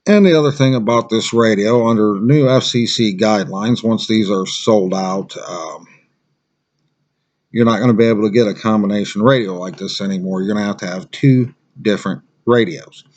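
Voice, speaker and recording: Male, American, fairly low pitch; plain-spoken survival instructor doing a loose, unscripted gear review. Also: normal speed, a little mellow, highs cut off. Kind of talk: casual unscripted product review.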